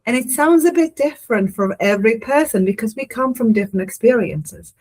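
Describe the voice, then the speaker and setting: A woman's voice: a little high, animated, a little fast, darkish tone, poor audio. A woman with an Israeli accent who hosts a podcast, reflecting earnestly and supportively in conversation. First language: Hebrew. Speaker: podcast host. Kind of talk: interview conversation.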